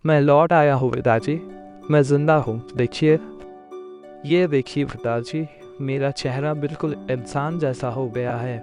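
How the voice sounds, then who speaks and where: A young cartoon prince, acted by a man, declamatory and formal. His mid-pitched voice plays over clearly audible music. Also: steady tone, unhurried, darkish tone, close to an everyday voice. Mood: joyful. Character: young prince from a fairy tale.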